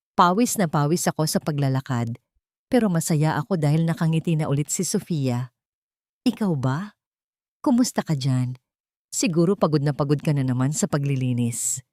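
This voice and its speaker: A woman's voice: sits low, warm tone, dry recording, clean studio sound. A woman voicing an affectionate, tired, hopeful working-class husband who speaks with tender concern in an audio story.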